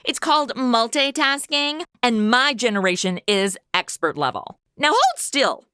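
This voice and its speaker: A woman's voice: on the high side, very animated, unhurried, sharp tone, clear, resonant tone, no room sound, studio quality, exaggerated voice. An actress playing a brash, carefree young woman in a comedy audio drama, slangy and bossy. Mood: self-assured.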